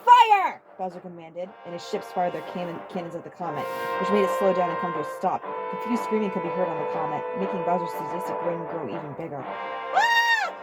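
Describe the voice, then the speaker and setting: Female story narrator: lowish voice, brisk dramatic reading over prominent music. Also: rough recording. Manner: stumbles over written words. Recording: loud music under the voice.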